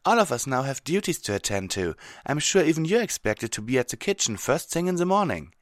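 Man as a female unicorn mage, lightly raised pitch, earnest, sensible, articulate, storybook cartoon. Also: very animated, a little fast, no room sound, clean studio sound. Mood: resigned, reassuring.

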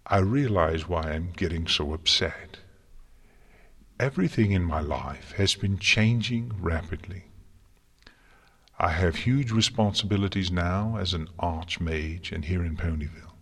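A man's voice: deep voice, unhurried, a bit bright, husky, full character voice. A man voicing a distraught young female unicorn student in a story, emphatic, bitter and anguished. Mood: subdued, sorrowful. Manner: emphatic, anguished, sarcastic delivery.

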